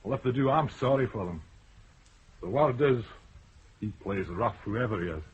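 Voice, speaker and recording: A man's voice: mid-range voice, animated, moderate pace, husky. A man playing a wary, evasive moneylender, curt and defensive, in a radio crime drama. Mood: guarded. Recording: dull, noisy old radio broadcast recording.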